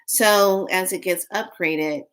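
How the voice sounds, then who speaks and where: A woman's voice: lowish voice, slow pace, fairly bright tone. A woman in her late fifties chatting casually to viewers on a live stream, rambling through a story. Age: 50s. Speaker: vlogger.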